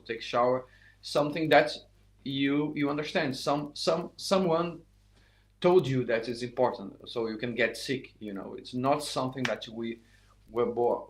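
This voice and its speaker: A middle-aged man, an English speaker, giving an unscripted live saxophone lesson. He talks haltingly, restarting phrases and saying "you know" often. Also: mid-range voice. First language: Portuguese. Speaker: saxophone teacher.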